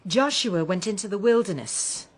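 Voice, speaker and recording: An actress playing a sharp, domineering woman in a commune, scolding and emphatic, in a radio drama. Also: medium pitch, expressive, moderate pace, breathy edge. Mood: firm, impatient.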